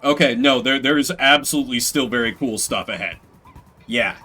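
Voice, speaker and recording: Male game streamer, casual rambling chat, animated retelling, bright voice, quiet background music. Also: mid-range voice, moderate pace. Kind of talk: casual livestream chat with viewers.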